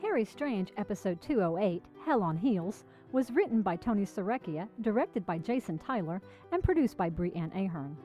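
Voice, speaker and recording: A woman's voice: medium pitch, big pitch swings, normal speed, hardly any pauses, mellow. A woman reading scripted credits as an announcer for an audio drama. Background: music.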